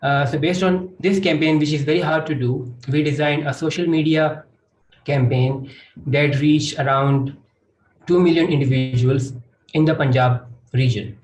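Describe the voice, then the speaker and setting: A man's voice: a little high, steady tone, moderate pace, a little mellow. Pakistani man explaining in an echoey room. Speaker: academic panelist. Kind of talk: explanatory panel talk. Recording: echoey room with faint noise.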